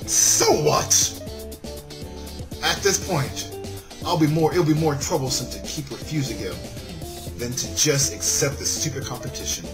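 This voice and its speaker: A man's voice: mid-range voice, moderate pace. A man reading a visual novel aloud and commenting casually, often stumbling over lines and correcting himself. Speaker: video game let's-play host. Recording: music playing behind the voice. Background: game background music.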